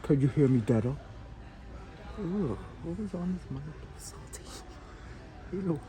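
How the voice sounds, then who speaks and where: Animated, slangy young-sounding man pulling pranks. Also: a little high, measured pace. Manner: slangy, profane, joking. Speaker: prankster and vlogger. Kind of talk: casual prank vlog chat with mock announcements into a walkie-talkie. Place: inside a large retail store.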